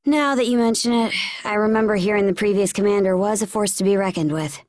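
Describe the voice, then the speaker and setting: An actress playing a firm, decisive young ship captain in a video game cutscene, with a frank, commanding manner. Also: female voice, mid-range voice, fast, a little mellow, dry recording, lightly acted. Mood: frank, stern.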